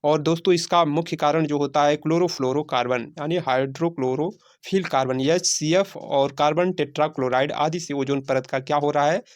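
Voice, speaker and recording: A man's voice: fairly high pitch, steady tone, moderate pace, few pauses. A man teaching a quiz-style lesson, repeating phrases and answering his own questions. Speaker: teacher of exam-prep science class.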